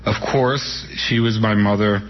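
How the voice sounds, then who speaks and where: Man, 60s, from New York City; low voice, unhurried author reminiscing and reading aloud, muffled radio sound. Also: steady tone. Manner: unhurried, reminiscing, with frequent pauses and fillers. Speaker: author and guest. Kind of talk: reflective storytelling and reading from his book. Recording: dull radio broadcast sound.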